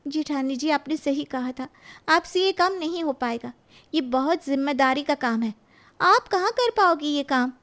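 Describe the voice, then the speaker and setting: Woman playing feisty younger sister-in-law in a cartoon story, high voice, indignant, won't take any nonsense. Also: animated, normal speed, a bit bright. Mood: smug. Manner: assertive, accusing. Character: sharp, indignant younger daughter-in-law who fights back. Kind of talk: Hindi moral cartoon story acting.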